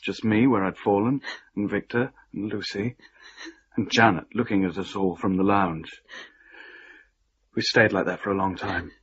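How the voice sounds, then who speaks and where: A shaken husband tells his story in a low, husky voice. He is halting and haunted, in radio play style, and the recording is slightly dull. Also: steady tone. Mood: agitated. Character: shaken young husband recounting a horrific haunting.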